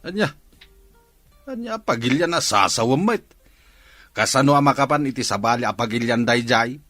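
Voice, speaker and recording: Grumpy middle-aged dad, ilocano accent, sharp animated voice, radio drama, faint music. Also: male voice, mid-range voice, normal speed, no echo, studio quality. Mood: scornful. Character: gruff, disapproving middle-aged Ilocano father.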